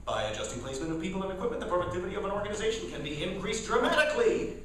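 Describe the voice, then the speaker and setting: A man's voice: high voice, sing-song, rapid-fire, a bit bright. A man announcing sponsor spots for a live radio theater in a mock commercial style. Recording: echoey hall, distant mic, faint noise.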